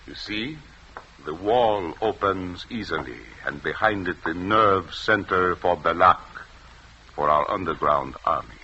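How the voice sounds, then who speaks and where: An actor playing a cool, commanding French resistance leader and doctor in a 1940s radio drama, speaking in a measured, formal way. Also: male voice, low-pitched, lively intonation, pushed and bright, full character voice. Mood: proud, scornful. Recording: muffled, noisy old radio recording.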